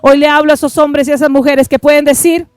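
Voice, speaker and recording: High-voiced woman preaching fervently. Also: flat delivery, darkish tone, dry recording, faint music behind. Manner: impassioned, repeats "listen to me", swings between rushed and drawn-out phrases. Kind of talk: fervent televised sermon and offering appeal.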